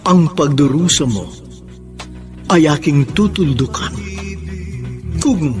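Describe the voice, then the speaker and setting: A man's voice: on the high side, lively intonation, slow pace. A Filipino man hosting a radio drama with florid, theatrical, romantic scripted narration. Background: soft music.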